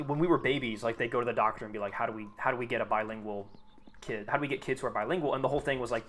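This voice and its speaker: A man's voice: mid-range voice, fairly bright tone. A young American man streaming a game, chatting casually and rambling with lots of fillers and restarts. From United States. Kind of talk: casual chat while gaming.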